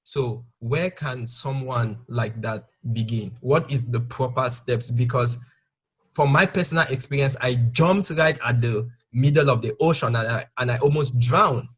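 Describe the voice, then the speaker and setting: A man with a somewhat husky voice hosts an online talk. He poses long, carefully framed questions in a polite, measured way. He is heard over a video call connection. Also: medium pitch, a bit bright. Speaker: host interviewer. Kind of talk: moderating an online interview.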